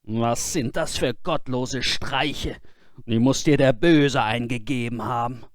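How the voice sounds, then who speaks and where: Fairy-tale role: curt, scolding, fed-up father, mid-pitched, male reader acting. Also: slow pace, no room sound, clean studio sound, natural voice. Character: stern, exasperated, ashamed peasant father. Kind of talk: fairy-tale storybook character voice.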